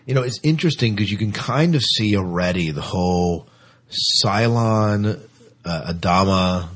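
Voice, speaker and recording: A man hosting a podcast in casual discussion, halting at times and repeating his phrases. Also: on the low side, breathy edge. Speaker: podcast host. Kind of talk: casual discussion of TV science fiction.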